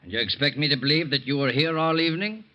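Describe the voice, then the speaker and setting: An actor playing a blunt, suspicious police captain in a 1940s radio drama, asking dry, probing questions. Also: male voice, fairly high pitch, fast talker, dull audio, close to an everyday voice.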